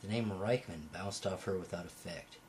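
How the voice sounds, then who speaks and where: A man's voice: low voice, normal speed, a little mellow. A man reading a novel aloud as an amateur, sometimes stumbling over a word and correcting himself, on a home recording. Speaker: amateur audiobook reader. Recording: home recording with faint background noise.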